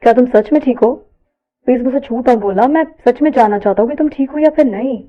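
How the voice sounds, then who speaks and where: A woman voicing a gentle, earnest young college man in an audio story, soft and a little hesitant. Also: fairly high pitch, quick pace, lo-fi sound, natural voice. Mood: concerned. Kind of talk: audio story character dialogue.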